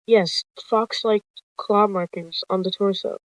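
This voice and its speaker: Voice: mid-range voice, fairly even intonation, a little slow, fairly bright tone, no echo, natural voice, rough recording. A narrator voicing an evil, evasive medicine cat in an animal roleplay story. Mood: subdued.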